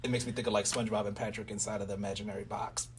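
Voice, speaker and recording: Man, low pitch, casual interview chat, slightly off-mic with faint room noise. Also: little pitch movement, rapid-fire. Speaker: interviewer and radio play writer. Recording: noisy room, distant mic.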